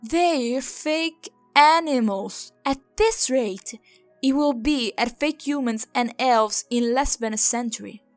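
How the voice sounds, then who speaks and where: Indignant, higher-voiced nature goddess played by a woman. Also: takes it slowly, no echo, faint music behind. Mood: disgusted. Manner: scornful, complaining. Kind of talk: fantasy audio drama acting.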